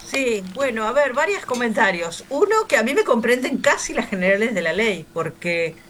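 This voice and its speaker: A woman's voice: on the high side, very animated, moderate pace, a bit bright, slight background noise. A woman in her sixties with a Río de la Plata accent, talking in a panel discussion in a chatty, anecdotal way with frequent fillers. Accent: Río de la Plata Spanish. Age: 60s.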